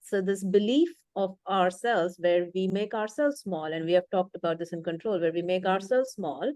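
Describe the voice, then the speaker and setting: A woman's voice: mid-range voice, darkish tone, no echo. A woman leadership coach explaining concepts thoughtfully in conversation, with frequent fillers and restarts.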